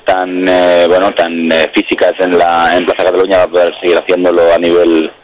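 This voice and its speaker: A man's voice: low-pitched, monotone, fairly quick, a little hiss or hum, low-quality recording. A man speaking by phone as a guest on a radio show, eager and rambling with many restarts. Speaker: guest, protest camp spokesperson. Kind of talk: phone interview. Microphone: telephone.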